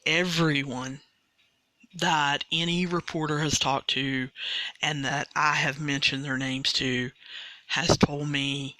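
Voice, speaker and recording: A woman's voice: low-pitched, fairly even intonation, slow, bright, forceful tone, no room sound, poor audio. A woman hosting a sports podcast on her own, explaining a rule in an earnest way and often restarting her sentences. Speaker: podcast host. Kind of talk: solo sports podcast commentary.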